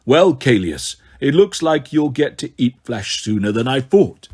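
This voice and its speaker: A man's voice: mid-range voice, animated, normal speed, lightly acted. A man voicing an imperious, menacing undead king in an audiobook, commanding and scolding. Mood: gloating. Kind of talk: audiobook character voice.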